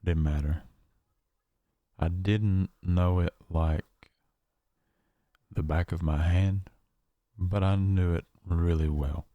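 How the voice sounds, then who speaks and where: Man playing a haunted survivor, very deep, flat voice. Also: no room sound, dull audio. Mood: weary, reflective. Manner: halting, haunted, confessional, with long pauses. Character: shaken, paranoid male survivor of a nightmare realm. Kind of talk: found audio log horror monologue.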